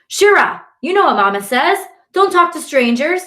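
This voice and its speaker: A woman's voice: a little high, big pitch swings, normal speed, pitched above the performer's own voice. A woman voicing a bossy, protective older girl scolding her little sister firmly, in an audiobook. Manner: scolding, firm.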